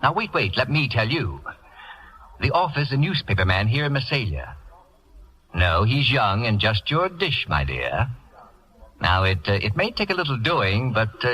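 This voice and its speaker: An actor playing an imperious, scheming celebrity lecturer with theatrical, biting sarcasm in old-time radio comedy. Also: male voice, medium pitch, sing-song, moderate pace, bright, forceful tone, muffled recording. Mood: coaxing, scheming. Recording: vintage radio broadcast recording.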